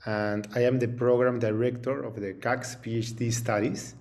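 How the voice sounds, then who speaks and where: A middle-aged man from Peru, a program director, giving a halting video-call invitation that keeps repeating words and tagging on "no?". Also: mid-range voice, fairly even intonation, a little mellow. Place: home room with paintings on the wall. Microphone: video call webcam.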